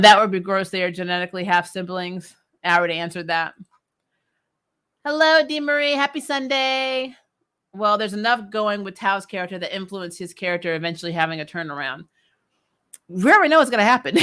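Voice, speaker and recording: A woman hosting a casual fan recap livestream, animated and giggly, giving emphatic opinions and repeating herself for effect. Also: mid-range voice. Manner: giggly, amused. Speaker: host of a fan recap show. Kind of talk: casual livestream chat, reading and answering viewer comments.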